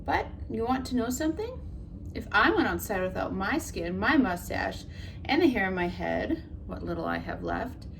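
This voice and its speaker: A woman teacher reading a picture book to children, her pitch rising and falling in a lively way. She tells the story gently and puts questions to her young listeners. Clear background noise sits under her voice. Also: normal speed, rough recording.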